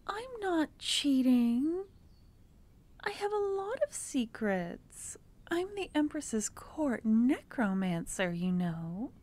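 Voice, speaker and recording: A woman playing a young female court necromancer who is tenderly affectionate and playful with her lover, speaking softly and often in a whisper for an ASMR roleplay. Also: mid-range voice, heavily acted. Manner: soft, intimate, often whispered.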